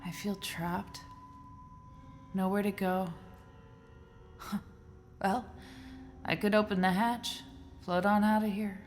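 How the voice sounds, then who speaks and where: A woman's voice: medium pitch, steady tone, slow pace, pauses often, soft background music, lightly acted. An actress playing a trapped, despairing woman scientist on a space mission, weary and bitter, in an audio drama. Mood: despairing, wistful. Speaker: actor in a musical audio drama.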